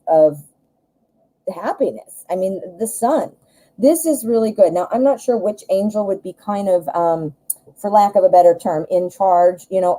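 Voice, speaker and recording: A woman's voice: medium pitch, mellow, low-quality recording. A woman with a Midwestern American accent giving a casual live tarot reading, conversational and self-deprecating, often rephrasing mid-thought. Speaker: tarot reader, live show host.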